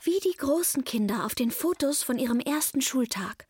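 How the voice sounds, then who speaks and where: A woman playing an earnest six-year-old daughter who narrates life with her mother in simple, measured words for a radio drama. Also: high voice, expressive, a little mellow, husky, no room sound, heavily acted. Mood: earnest, wondering. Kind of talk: radio drama, first-person narration and dialogue.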